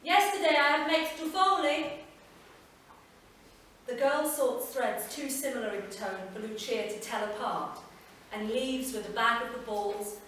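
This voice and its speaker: A woman reading a literary story aloud at a live event, measured and expressive, recorded from far off. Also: on the high side, slight background noise. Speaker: reader. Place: performance venue. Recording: echoey hall from far off, faint ambience.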